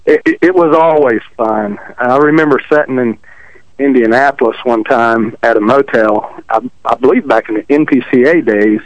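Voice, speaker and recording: A man from Texas with a country accent, a drag racer telling stories in a relaxed, self-deprecating way over the phone. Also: mid-range voice, moderate pace, no room sound, rough recording. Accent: Texan country. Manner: easygoing, self-deprecating storyteller. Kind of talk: casual interview chat. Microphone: phone call.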